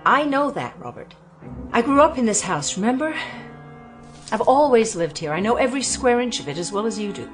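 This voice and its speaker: A woman's voice: on the high side, very animated, fairly quick, soft background music. Uneasy, probing young woman. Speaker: daughter of the house. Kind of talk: radio drama acting.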